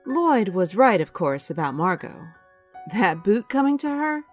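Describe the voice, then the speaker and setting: An actress playing a guilty, scheming well-bred wife who confides in a measured, wry way in a radio drama. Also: female voice, medium pitch, sing-song, soft background music. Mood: sly, scheming. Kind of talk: radio drama acting with confessional narration.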